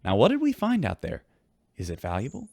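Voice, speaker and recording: A man's voice: on the low side, huge pitch swings, fairly quick, creaky, a little mellow, no echo, studio quality, heavily acted. An actor playing a wry, showy male radio host aboard a spaceship in a sci-fi audio drama. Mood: playfully gossipy.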